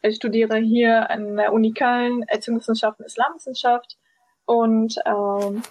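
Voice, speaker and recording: A woman's voice: a little high, flat delivery, moderate pace. A woman in her twenties talking casually on a podcast, thoughtful, with many fillers. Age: 20s. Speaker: podcast guest. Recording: dull, dry lo-fi sound.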